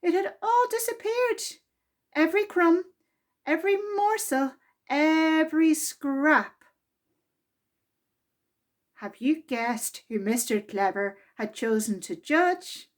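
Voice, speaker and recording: A woman reading a children's storybook aloud as a storytime reader, in a deliberate, expressive way meant for young listeners. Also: high voice.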